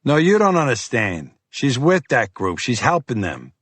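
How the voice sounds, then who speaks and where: A man voicing a gruff, sarcastic, heavyset male FBI agent with a Boston accent, brusque and bossy. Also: mid-range voice, very animated, normal speed, a bit bright, no room sound, voice pushed up. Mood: exasperated.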